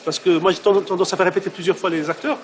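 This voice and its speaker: High-voiced man in an interview. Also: steady tone, quick pace, a little hiss or hum. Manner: restarts and repeats sentences. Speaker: director who works with actors. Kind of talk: casual interview talk.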